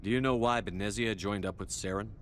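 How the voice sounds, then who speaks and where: An actor playing a composed, reassuring starship commander in a video game, speaking in a direct, plain way. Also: male voice, on the low side, a little fast, fairly bright tone, slight background noise, natural voice. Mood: calm inquiring. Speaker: ship's commander.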